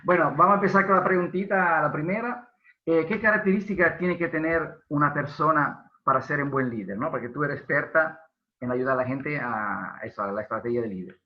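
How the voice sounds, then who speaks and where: A man's voice: on the high side, fairly quick. A man hosts an online interview, full of eager praise and chatter, often stumbling and restarting his phrases. His voice carries a roomy echo, and the video-call audio sounds dull and muffled. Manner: effusive, chatty, with filler and restarts. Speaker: host. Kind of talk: casual interview hosting.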